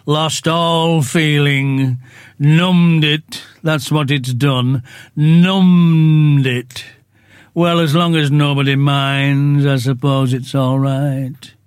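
A man voicing a gloomy old male donkey who speaks slowly and mournfully, full of self-pity. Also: a little high, steady tone, clean tone, no echo, clean studio sound. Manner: slow, drawn-out, self-pitying.

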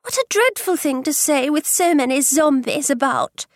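A woman's voice: high voice, animated, moderate pace, no echo, studio quality, pitched above the performer's own voice. A woman voicing a fretful young daughter in an audiobook.

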